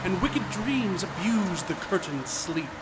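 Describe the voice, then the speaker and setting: Casting: a grandiose, menacing killer spouting verse in radio drama, raised pitch, clear vehicle noise. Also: male voice, very animated, takes it slowly, heavily acted. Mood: sinister, grandiose. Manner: declamatory, sinister. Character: menacing murderous driver, theatrically declaiming Shakespeare. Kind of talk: live radio theatre acting. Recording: noisy live radio play.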